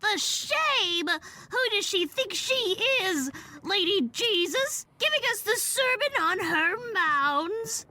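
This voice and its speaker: A scandalised female schoolteacher in an audio drama. Her voice is piercingly high and bright, swooping with self-righteous indignation. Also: lively intonation, slow, hardly any pauses, heavily acted. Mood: outraged. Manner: shrill, indignant.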